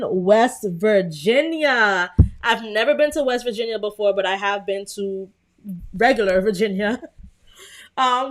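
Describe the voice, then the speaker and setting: A woman's voice: medium pitch, a bit bright. A young woman with a Brooklyn accent hosting a casual live design tutorial, chatty and full of fillers as she greets viewers. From Brooklyn, New York. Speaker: livestream host.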